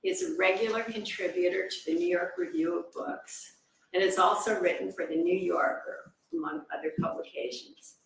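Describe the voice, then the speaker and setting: Creaky-voiced woman in a very echoey room. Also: mid-range voice, expressive, normal speed. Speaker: event host introducing speakers. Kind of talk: introduction read aloud with informal asides. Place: reverberant indoor event room. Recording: echoey room, distant mic, faint noise.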